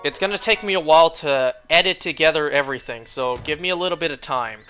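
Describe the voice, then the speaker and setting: A young man streaming a game, chatting casually and rambling, clearing his throat often as he is losing his voice. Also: fairly high pitch, quick pace, pushed and bright, soft background music.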